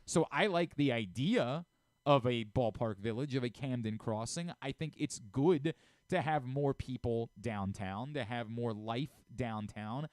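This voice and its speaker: A man hosts a sports talk show in a dry studio. His voice is clear and mid-pitched. He comments emphatically, often repeating a point to drive it home. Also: a little slow, a little mellow, no room sound. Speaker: sports radio host. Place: radio studio.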